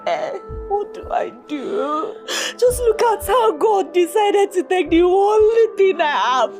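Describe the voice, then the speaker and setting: Very high-pitched wailing Nigerian daughter in mourning. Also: female voice, very animated, takes it slowly, shouting, faint music behind, exaggerated voice. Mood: tearful despair. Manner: wailing, pleading, repeating questions. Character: grieving daughter mourning her dead father, anguished, despairing. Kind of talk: screen drama acting, melodramatic.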